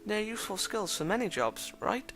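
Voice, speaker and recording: A man reading as a gentle, polite blind young woman, speaking softly and courteously in a measured way over faint music. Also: high voice, very animated, voice pushed up, full character voice.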